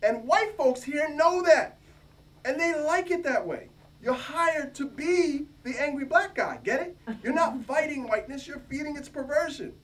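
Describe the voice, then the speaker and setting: A man's voice: animated, moderate pace. A man voicing an anxious, indignant literature professor, accusing and insistent, in a reading from a novel. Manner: high-strung, insistent, emphatic. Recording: distant mic, faint room noise.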